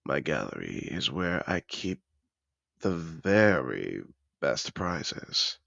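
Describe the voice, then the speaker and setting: A man playing a suave, possessive dragon in disguise in a fantasy audio roleplay, courtly and seductive, savouring his words with a gravelly voice. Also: big pitch swings, slow, a bit bright, no room sound, lo-fi sound, exaggerated voice. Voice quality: very deep, gravelly, creaky. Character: suave possessive male dragon in disguise, acquisitive treasure collector. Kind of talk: romantic fantasy audio roleplay, addressed to the listener.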